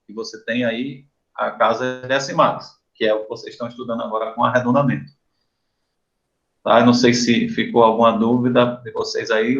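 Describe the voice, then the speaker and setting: A man with a Brazilian Portuguese accent, a professor giving an informal explanatory lecture to students, often pausing to check in with "né?" and "tá?". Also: mid-range voice, a bit bright, highs cut off. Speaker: university professor.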